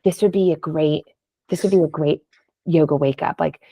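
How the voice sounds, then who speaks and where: Woman podcast guest with low, creaky voice. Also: fairly even intonation, moderate pace, mellow, no room sound. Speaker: podcast guest, wellness app founder. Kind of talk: casual interview conversation. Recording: slightly dull lo-fi audio.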